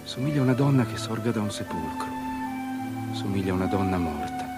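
An actor playing a foreboding page who uneasily warns a friend, ominous and insistent, in poetic radio drama style. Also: male voice, on the low side, lively intonation, fairly quick. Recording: radio drama over loud music.

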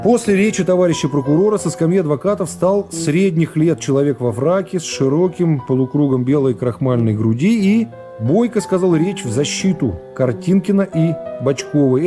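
A man narrating a classic novel for a radio play in a dramatic literary style. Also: fairly high pitch.

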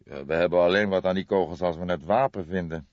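A man voicing a thoughtful, grey-haired old police detective with a measured, probing manner in an audiobook. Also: low voice, no room sound, muffled recording, close to an everyday voice. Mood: resigned.

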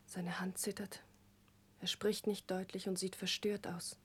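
An actress playing a gentle, anxious young woman in a 1960s German radio drama, speaking earnestly and confidingly in a breathy, hushed voice. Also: mid-range voice, flat delivery, a little slow. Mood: troubled, sorrowful.

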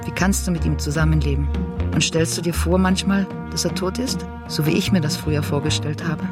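An actor playing a grown child who confronts their mother in a literary radio monologue, with deliberate, repetitive phrasing. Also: medium pitch, steady tone, over loud music, lightly acted. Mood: confrontational.

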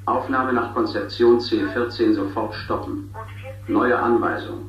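An actor in a 1970s radio drama, playing a curt official making studio loudspeaker announcements. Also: male voice, medium pitch, a little slow, recorded from a distance, natural voice. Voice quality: flat, clipped, official. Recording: dull, echoey loudspeaker sound from afar.

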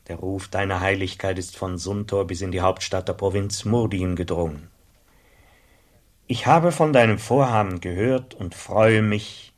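A dignified, flattering bishop in a radio play; low, formal, solemn. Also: male voice.

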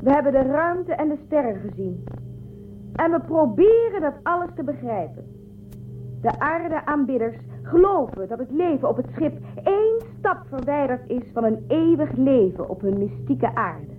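A woman playing a proud, resigned leader of a starship colony in a science-fiction radio drama, speaking with measured, dismissive authority. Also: high-pitched, big pitch swings, soft background music. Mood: guarded, explaining. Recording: muffled narrow-band audio with noise.

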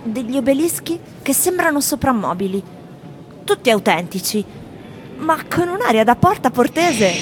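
An actress playing wry, plaintive tourists in a theatrical radio reading. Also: female voice, medium pitch, slight background noise, lightly acted. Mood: wry, complaining. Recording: music behind the voice. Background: music.

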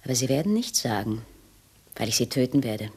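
An actress playing a tense, defiant housewife in a German radio crime drama, giving sharp commands that break into breathy hesitation. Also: female voice, extremely low pitch, very animated, brisk, heavily acted. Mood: resolute, agitated. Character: tense, defiant housewife holding an intruder at gunpoint.

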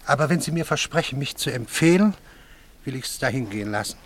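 A man's voice: medium pitch, animated, a little fast, lightly acted. An actor in a German radio play as an unruffled con man with formal, suave, businesslike manners. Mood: aggrieved, businesslike. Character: shrewd, unruffled con man posing as gentleman.

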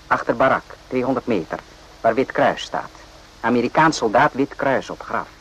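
An actor playing a weary, evasive doctor in a radio play, speaking clipped, broken sentences. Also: male voice, mid-range voice, animated, moderate pace, a bit bright, slightly rough. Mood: insistent, terse. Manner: clipped broken speech, dropping articles and verbs. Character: weary, evasive male Vietnamese army-hospital doctor, stoic. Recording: noisy old radio play recording.

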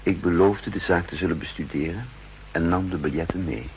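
Introspective double agent, Netherlands Dutch, measured narration, low-pitched, 1960s radio drama, faint hiss. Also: male voice, darkish tone, low-quality recording. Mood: uneasy, pensive. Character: brooding male undercover double agent narrating his own story. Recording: hissy 1960s radio recording.

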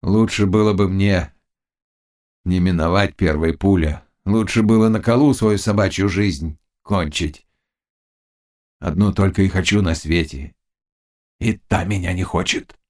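A man's voice: medium pitch, animated, moderate pace, gravelly, voice pushed up. A man voicing a fierce, lovesick Cossack chieftain in an audiobook, shifting between brooding mutters and anguished cries. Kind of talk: audiobook character dialogue.

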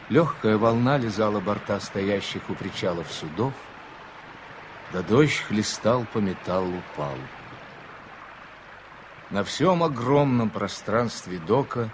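A middle-aged man narrating an old radio drama in a measured, solemn manner. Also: fairly low pitch, slight background noise, poor audio.

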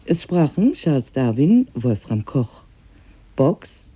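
A man reading formal scripted closing credits as a radio announcer, with a husky voice. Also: mid-range voice, very animated, takes it slowly. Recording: slightly dull 1980s radio sound.

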